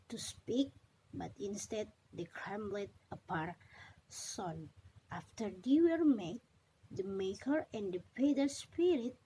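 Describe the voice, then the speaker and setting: A young woman reads a tale aloud, taking it slowly and deliberately. Her pitch swings up and down and her tone is soft and mellow. The phrasing halts now and then, as in an amateur student reading. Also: a little hiss or hum, poor audio. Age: young adult. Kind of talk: scripted storytelling narration, read aloud.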